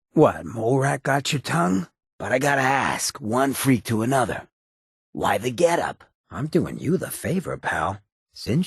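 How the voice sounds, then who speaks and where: An actor playing a roguish, streetwise ghoul in a video game, with a very raspy, gravelly voice and a cocky, laid-back, slangy way of talking. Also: male voice, medium pitch, no echo, studio quality. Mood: puzzled, needling, then sly, confiding. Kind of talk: video game companion dialogue.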